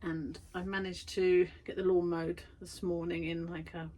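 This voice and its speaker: A woman's voice: on the low side, flat delivery, normal speed, darkish tone. A woman vlogging from her garden shed studio, chatty and self-deprecating, tired after busy weeks. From United Kingdom. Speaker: vlogger and art workshop tutor. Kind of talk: casual chatty vlog.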